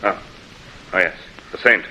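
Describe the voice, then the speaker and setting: A man playing a gruff, indignant, self-important company boss in a 1940s radio drama, blustering and clipped. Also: measured pace, pushed and bright. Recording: muffled, noisy vintage radio recording.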